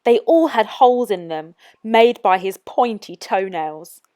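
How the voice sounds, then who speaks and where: A woman's voice: mid-range voice, expressive, measured pace, mellow. A woman presenting storytime, reading aloud expressively for children.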